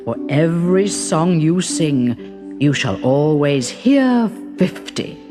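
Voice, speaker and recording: Storybook casting: grave river king performed by a woman, lower-pitched, slow, regal and commanding. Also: lively intonation, over music. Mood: stately, grave.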